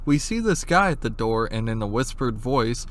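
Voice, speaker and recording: A man's voice: medium pitch, animated, moderate pace. A man giving narrated analysis commentary, explaining in an informal way with casual asides. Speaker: video essayist, horror series analyst.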